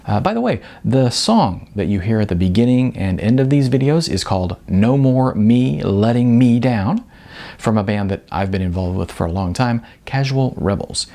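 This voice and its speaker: A man's voice: on the low side, expressive, moderate pace, gravelly, mellow. A man reading his own novel aloud in audiobook style, with a wry manner. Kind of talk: audiobook-style narration of fiction.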